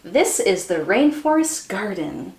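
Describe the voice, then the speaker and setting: A woman's voice: medium pitch, big pitch swings, measured pace, gravelly, darkish tone, echoey room. A woman voicing a friendly female rabbit garden guide in a children's storybook, welcoming and explaining with wide-eyed emphasis. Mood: amazed.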